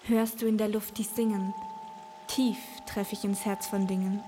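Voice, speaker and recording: An actress playing a proud, cool, alluring beloved, speaking measured verse in a radio drama. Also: female voice, mid-range voice, flat delivery, noisy background, natural voice. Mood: aloof. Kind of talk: poetic German radio drama. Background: music.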